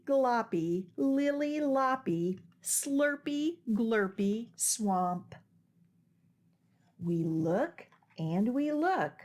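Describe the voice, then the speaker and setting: A woman hosting a children's storytime as a librarian, with an expressive, sing-song and deliberate delivery for young kids. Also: on the high side. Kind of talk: children's storytime, picture book read-aloud and action rhymes.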